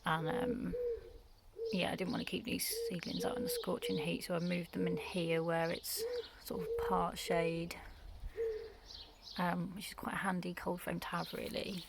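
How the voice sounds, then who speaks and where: A woman from the UK, croaky from just waking up, giving a quiet, half-whispered tour of her back garden in the early morning. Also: fairly low pitch, big pitch swings, moderate pace. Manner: hushed, half-whispered talk. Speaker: gardening vlogger. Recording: noisy outdoor recording with birdsong.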